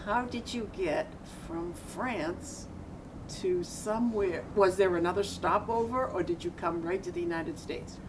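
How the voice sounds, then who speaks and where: A woman's voice: on the low side, a little slow. A woman interviewer asking chatty follow-up questions in a casual conversation. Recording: distant from mic, noisy ambience.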